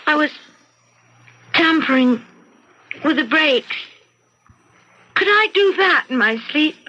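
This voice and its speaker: Bright-voiced, defiant housewife. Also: female voice, high-pitched, lively intonation, slow, heavily acted. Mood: frightened. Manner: argumentative, self-justifying. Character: bored, defiant housewife confessing murderous wishes to her psychiatrist. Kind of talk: old-time radio mystery acting. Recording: muffled vintage radio recording with noise.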